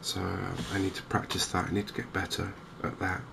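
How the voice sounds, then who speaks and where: A man giving softly spoken ASMR commentary on a game, hushed, hesitant and unhurried, close to the microphone. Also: low-pitched, completely flat delivery. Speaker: gaming video host. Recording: clear background noise.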